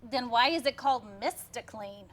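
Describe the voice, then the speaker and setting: An actress playing a wry, easily exasperated housewife in a staged comedy. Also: female voice, a little high, animated, normal speed, fairly bright tone. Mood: wry, teasing. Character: wry, deadpan-joking housewife, exasperated daughter. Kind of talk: staged reading of a comic play.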